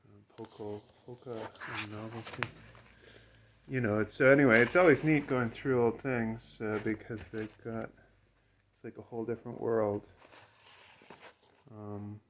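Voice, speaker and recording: A man's voice: on the low side, steady tone, a little slow, a little hiss or hum. A man giving a casual, unscripted show-and-tell as a collector, hesitant and rambling, often trailing off mid-thought. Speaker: record collector and seller showing items.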